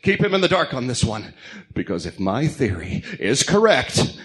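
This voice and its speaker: A man's voice: mid-range voice, very animated, moderate pace, fairly bright tone. A man playing a brooding masked vigilante detective, terse and serious, in an amateur radio drama. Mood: grim, determined.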